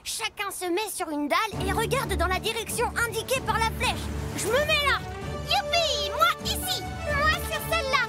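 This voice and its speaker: An eager little kid truck with a tiny, extremely high voice. The delivery is bubbly, playful and exclamatory cartoon acting, with loud music behind it. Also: female voice, expressive, normal speed. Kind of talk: animated children's cartoon acting.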